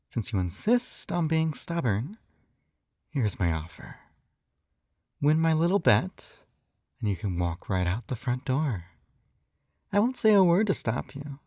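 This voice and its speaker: Slow, coaxing man in a dry close recording. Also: lowish voice, very animated, darkish tone, no room sound. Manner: coaxing, doting, slightly condescending, often sighing. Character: possessive, coaxing male yandere captor and hypnotist. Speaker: audio roleplay performer. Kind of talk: intimate scripted roleplay acting.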